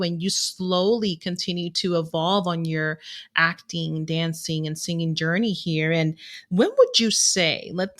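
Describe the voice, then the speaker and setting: A woman's voice: fairly low pitch, a bit bright. A woman co-hosting an interview podcast, complimenting her guest and asking long, rambling questions. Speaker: podcast co-host. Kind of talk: casual interview.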